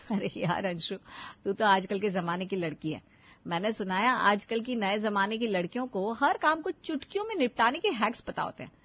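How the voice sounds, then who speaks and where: A woman's voice: medium pitch, lively intonation, normal speed, highs cut off. A woman voicing a weary, wry elder daughter-in-law in a cartoon family story, teasing and scolding as she goes. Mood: playful pleading. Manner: wry, scolding, put-upon. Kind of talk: animated family story acting.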